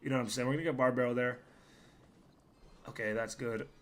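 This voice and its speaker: A young man streams his game with a hoarse, worn-out voice that still has a bright, forceful edge. He rambles casually and swears freely between plays. He sometimes breaks into loud yells. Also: mid-range voice, steady tone, fast talker. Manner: profane, rambling, bursts into loud yelling. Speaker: gaming streamer. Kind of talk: casual live gameplay commentary.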